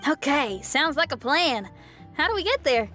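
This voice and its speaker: A high, boyish young musician in a video game. He talks in a casual, colloquial way, friendly but a little skeptical, with faint music underneath. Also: very animated, moderate pace, sharp tone, heavily acted. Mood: easygoing. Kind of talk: video game cutscene dialogue.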